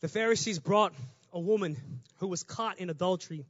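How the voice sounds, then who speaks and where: High-voiced man preaching a sermon. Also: no room sound, lo-fi sound. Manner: conversational, fast, builds to shouting.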